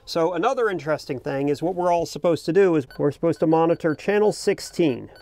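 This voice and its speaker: Higher-voiced middle-aged American man, casual explainer. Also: lively intonation, a little fast, dark tone. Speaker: boating video host. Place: outdoors in the cockpit of a small boat.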